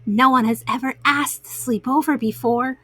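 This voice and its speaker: A woman's voice: sits high, steady tone, normal speed, pushed and bright, husky, no echo, faint music behind, full character voice. Woman voicing an eager, earnest storybook skunk. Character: eager, friendly, apologetic young skunk.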